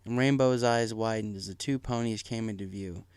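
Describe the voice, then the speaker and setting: A man's voice: fairly low pitch, moderate pace, darkish tone, clear, resonant tone, dry recording. A man reading a story aloud as dramatic scripted narration. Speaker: story reader. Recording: music playing behind the voice. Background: music.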